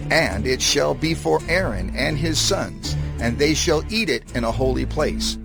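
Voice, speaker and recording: A man giving a solemn, measured, declarative reading of scripture in a dramatized production, with music behind him. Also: mid-range voice, creaky. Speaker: scripture reader. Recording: music under the voice.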